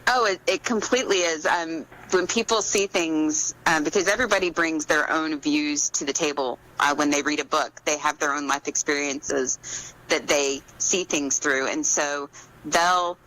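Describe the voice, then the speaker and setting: A woman's voice: low voice, moderate pace, fairly bright tone, poor audio. A woman being interviewed as an author, talking casually and reflectively, in an earnest, explanatory way.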